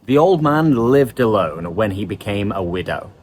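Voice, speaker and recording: Lively man teaching English vocabulary. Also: fairly low pitch, normal speed, a little hiss or hum. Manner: lively explanatory. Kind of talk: online vocabulary lesson.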